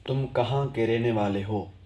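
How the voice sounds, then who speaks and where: A man giving an online language lesson as a teacher, slowly repeating each phrase so learners can drill it. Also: mid-range voice, warm tone.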